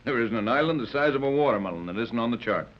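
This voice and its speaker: An actor playing a gruff, wary American adventurer in a 1930s radio serial, with brusque, dry, slangy delivery. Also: male voice, medium pitch, lively intonation, fast, close to an everyday voice. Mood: matter-of-fact. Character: gruff, wary, wisecracking adult American sea captain's type. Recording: muffled vintage 1930s radio recording.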